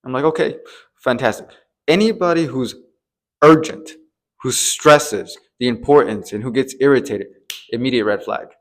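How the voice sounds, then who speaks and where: A man's voice: mid-range voice, normal speed. A man, around his thirties or forties, explaining things as an advisor in an emphatic way, repeating key warnings and often checking in with listeners. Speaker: treasury advisor, presenter. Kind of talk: explanatory advice to camera for business owners.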